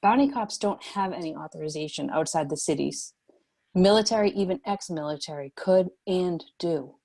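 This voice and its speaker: A woman's voice: fairly low pitch, normal speed, creaky, warm tone. A woman playing a wary, assertive leader of refugees, direct and questioning, in a screenplay table read. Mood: wary, pressing.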